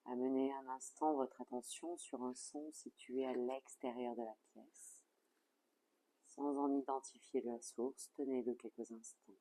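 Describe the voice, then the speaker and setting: Yoga nidra teacher doing guided meditation, soft mellow voice, hardly any pitch movement, calm and measured. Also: rough recording. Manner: calm, soothing, measured delivery with soft phrase endings.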